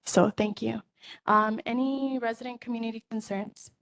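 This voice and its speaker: A woman's voice: fairly high pitch, steady tone, moderate pace, a bit bright, low-quality recording. A woman chairing a public neighborhood meeting, procedural and courteous.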